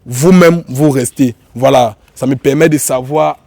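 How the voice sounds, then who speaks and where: A man's voice: mid-range voice, measured pace, vocal fry, dark tone, no room sound. A man with a Cameroonian accent and a cold, hosting an online show in an informal, repetitive way as he addresses viewers and guests. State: has a cold. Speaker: YouTube show host.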